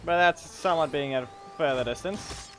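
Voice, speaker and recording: A man's voice: a little high, a little fast, fairly bright tone, soft background music. A man giving casual gameplay commentary as a streamer, in a rambling, self-correcting way with muttered asides. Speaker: game streamer. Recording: clearly noisy stream audio with clicks.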